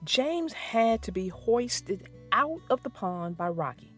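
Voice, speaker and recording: A woman narrating a children's book aloud in a slow, expressive storytelling manner. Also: fairly high pitch, faint music behind.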